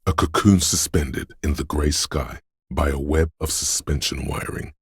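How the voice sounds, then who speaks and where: A man voicing a brooding, otherworldly inner voice that senses a rainy city, narrating a game in solemn, measured, poetic lines with a husky, gravelly voice. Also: rumbling low, dry recording, clean studio sound, full character voice. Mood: somber, wistful. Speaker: video game voice actor. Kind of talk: slow, poetic second-person game narration.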